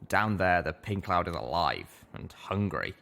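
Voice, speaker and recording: A man's voice: sits low, very animated, unhurried, vocal fry, fairly bright tone, full character voice. A man voicing a jaded, sardonic century-old ghoul pony in a colt's body, rambling wearily in a storybook reading. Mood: grim.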